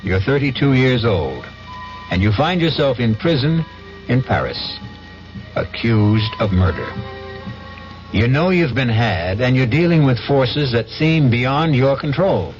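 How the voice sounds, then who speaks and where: A man's voice: medium pitch, big pitch swings, a little slow. A man hosting a radio drama, narrating a scripted story in an ominous, theatrical way. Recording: dull old radio recording. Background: music.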